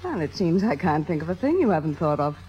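An actress playing a sardonic, possessive yet vulnerable stage star in 1950s radio drama style. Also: female voice, low voice, very animated, fast, highs cut off. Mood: wary, dry. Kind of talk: 1950s radio drama acting with first-person narration. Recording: old radio broadcast, music behind.

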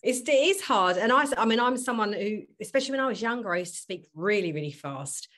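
A middle-aged woman hosting a podcast, chatty and self-deprecating, sounding a little husky today. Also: mid-range voice, animated, quick pace, a bit bright. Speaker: podcast host. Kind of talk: podcast intro and casual chat.

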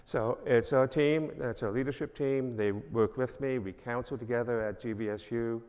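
A man's voice: mid-range voice, steady tone, moderate pace, darkish tone, clear, resonant tone. An older man with a New York accent, a minister giving a rambling, self-deprecating introduction at a church service, full of fillers. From New York, Long Island. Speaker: guest speaker, minister. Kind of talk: informal church address. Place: church sanctuary. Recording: long reverb of a church hall.

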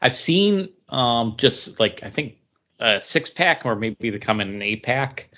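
A man co-hosting a podcast, explaining things casually in a halting way. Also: medium pitch, lively intonation, bright, forceful tone, muffled recording. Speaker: podcast co-host. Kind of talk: casual chat.

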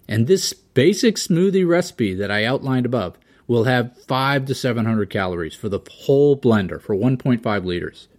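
A man's voice: mid-range voice, darkish tone. Chatty male podcast host. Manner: conversational, frequent "yeah" and "right" backchannels. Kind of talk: casual interview and solo segment.

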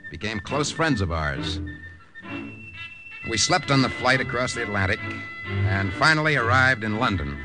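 A man's voice: medium pitch, sing-song, moderate pace, pauses often, lightly acted, rough recording. An actor playing a wry young army corporal who narrates his war mission in a 1950s radio drama. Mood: grim, matter-of-fact. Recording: old radio recording with loud music behind.

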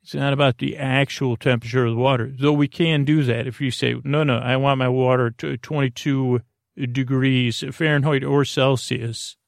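A man's voice: medium pitch, steady tone, normal speed, vocal fry, dry recording, studio quality. A man hosting a silly bedtime podcast in a rambling monologue, hesitant and often trailing off mid-thought. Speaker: podcast host.

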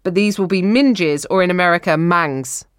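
A woman's voice: lowish voice, moderate pace, clear, resonant tone, no echo, studio quality. A woman guest co-hosting a comedy podcast, riffing bawdily in rambling, stammering bursts with frequent restarts. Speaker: guest co-host. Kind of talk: improvised comedy banter. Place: studio in New York.

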